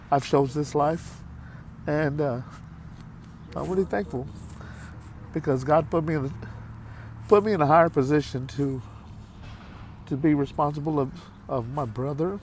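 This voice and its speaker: Heartfelt man in his fifties, halting. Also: fairly high pitch, a little mellow, a little hiss or hum. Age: 50s. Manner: halting, heartfelt, repeats himself with many fillers. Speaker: vlogger. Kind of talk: candid talk to camera.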